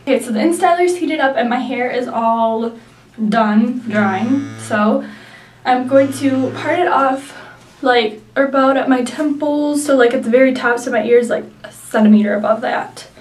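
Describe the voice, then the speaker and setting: A woman's voice: sits high, unhurried, a little mellow, recorded from a distance. A young woman with a nasal voice from a sinus infection, chatting through a hair tutorial with many likes and ums. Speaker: beauty vlogger. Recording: echoey room, mic set back.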